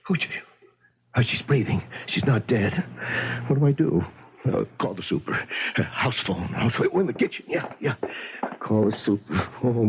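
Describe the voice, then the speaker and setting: An actor in a 1970s radio drama playing a friendly, flustered doorman, husky and talkative, stammering and repeating himself. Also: on the high side, full character voice. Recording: muffled 1970s radio broadcast recording.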